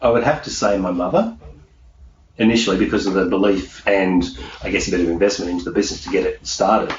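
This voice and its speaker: A man's voice: low voice, steady tone, quick pace. A middle-aged man answering interview questions as a motivational coach, emphatic and self-assured, repeating key phrases for effect. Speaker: peak performance hypnosis coach being interviewed. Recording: echoey room, distant mic, dull sound, faint noise.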